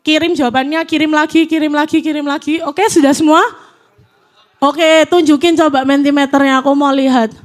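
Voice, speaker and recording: A woman's voice: high voice, steady tone, brisk. A woman in her twenties giving a casual, chatty youth sermon in church, nervous and self-deprecating. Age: 20s. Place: church hall, live audience.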